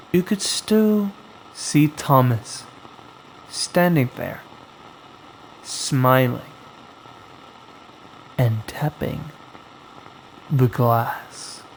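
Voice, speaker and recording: Very slow, gravelly male horror storyteller. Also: on the high side, slight background noise, rough recording. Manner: slow, dramatic, suspenseful delivery with long pauses. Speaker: storyteller, host of a horror anthology. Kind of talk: scripted horror story narration.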